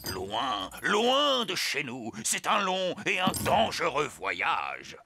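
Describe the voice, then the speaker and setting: A man playing a swaggering, fearless pirate with a gravelly voice and theatrical manner in a children's cartoon. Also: a little high, wildly swooping pitch, slow pace, slight background noise, full character voice. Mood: dramatic. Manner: bold, theatrical. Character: swaggering, fearless old-style pirate adventurer. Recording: loud music under the voice.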